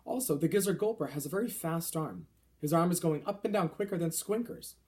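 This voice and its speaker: A man voicing a big, friendly, gently indignant giant from a children's storybook, speaking in quaint broken grammar with mangled words. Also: fairly high pitch, brisk, close to an everyday voice. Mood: explaining, relishing.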